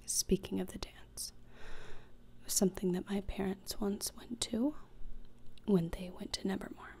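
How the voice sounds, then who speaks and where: A young woman playing a morbid, deadpan teenage schoolgirl in a whispered ASMR roleplay, speaking deliberately and cuttingly, close to the microphone. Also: mid-range voice. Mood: cold contempt. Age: young adult. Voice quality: breathy whisper with fry. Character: morbid, deadpan, disdainful teenage schoolgirl who relishes torture. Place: small indoor room, plain backdrop. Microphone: close desktop microphone.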